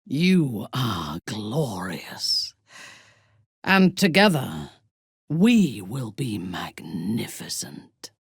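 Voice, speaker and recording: A woman playing a ruthless, haughty dark elf noblewoman in a fantasy video game, speaking in a measured, menacing way with a breathy, husky voice. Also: extremely low pitch, no echo, studio quality, heavily acted. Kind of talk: dramatic fantasy game dialogue.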